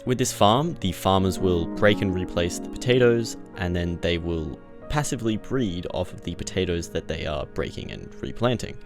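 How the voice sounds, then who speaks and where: A man narrates his gameplay in a casual, chatty first-person style. His voice sits very low with a strong creaky fry, and he keeps a jokey, conversational manner. Music plays clearly behind him. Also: animated, normal speed, hardly any pauses. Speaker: gaming video creator narrating gameplay.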